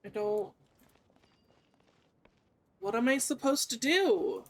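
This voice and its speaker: A woman's voice: fairly high pitch, very animated, takes it slowly. A woman game streamer giving casual live gameplay commentary, informally thinking aloud as she plays. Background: faint game music.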